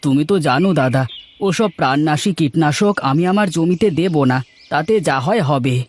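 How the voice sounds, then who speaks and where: A man voicing a gentle, earnest young village farmer in a cartoon folk tale. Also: fairly high pitch, lively intonation, moderate pace. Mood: friendly, earnest.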